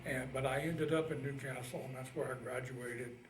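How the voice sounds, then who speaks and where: A man of about eighty from Indiana with a Midwestern American accent, recalling his past in a halting, folksy way as an interviewed Navy veteran. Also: medium pitch, fairly even intonation. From Indiana, United States. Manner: halting, folksy plain speech. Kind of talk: casual oral history recollection. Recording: roomy, distant mic, faint noise.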